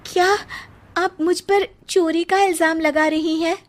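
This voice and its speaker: A woman's voice: very high voice, steady tone, a little slow. A woman voicing a dutiful, long-suffering young daughter-in-law in a family cartoon, polite and earnest. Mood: shocked. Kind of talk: Hindi family cartoon dubbing.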